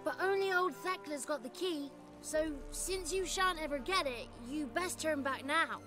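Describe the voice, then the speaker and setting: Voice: sits high, a little slow, over music. An actor voicing a small, cheeky, childlike male godling in a video game, chatty and rustic. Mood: resigned, exasperated. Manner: chatty, rustic old-fashioned turns of phrase. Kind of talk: video game character acting.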